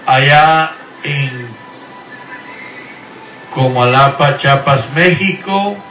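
A middle-aged man, a pastor, preaching and sending drawn-out, earnest blessings and greetings over the radio. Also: fairly high pitch, pushed and bright. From Guatemala. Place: Houston, Texas. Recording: echoey room, voice from far off the mic.

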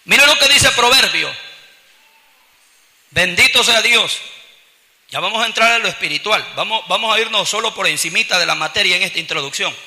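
High, bright Salvadoran preacher. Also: male voice, expressive, moderate pace, pauses often. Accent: Salvadoran Spanish. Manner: fervent preaching, keeps addressing the congregation as brothers. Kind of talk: sermon. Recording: long reverb of a large hall.

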